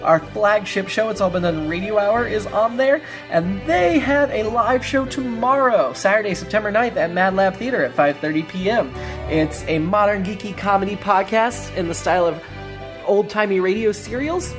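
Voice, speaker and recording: A woman's voice: mid-range voice, normal speed. A woman hosting a podcast, reading a scripted introduction and announcements. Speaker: podcast host. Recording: music bed under voice. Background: music.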